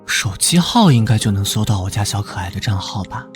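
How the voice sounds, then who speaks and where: An actor playing a warm-hearted, easily flustered young male detective in an animated drama, fretting and scolding himself. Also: lowish voice, fairly quick, soft background music. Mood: tender, hesitant. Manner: expressive, fretful, self-scolding. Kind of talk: animated drama dialogue and inner monologue.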